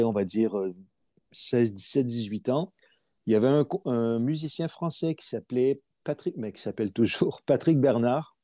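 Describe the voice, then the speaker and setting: A man's voice: mid-range voice, mellow, dry recording, clean studio sound. A middle-aged man from Montreal, chatting as a guest musician, hesitant with many fillers and restarts. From Montreal, Canada. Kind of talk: casual interview chat.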